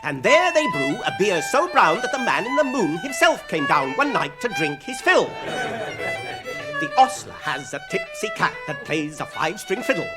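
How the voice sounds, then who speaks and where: An actor playing a nervous, courteous hobbit gentleman in a radio drama, with an English accent and hesitant, polite, formal phrasing. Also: high-pitched, wildly swooping pitch, normal speed. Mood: merry singing. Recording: music behind the voice.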